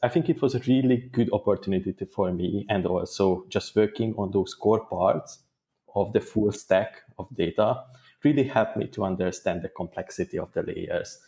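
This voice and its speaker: A man answering interview questions as a guest, in a thoughtful, measured way with small restarts. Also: fairly low pitch, dark tone. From Hungary. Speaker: guest data engineer.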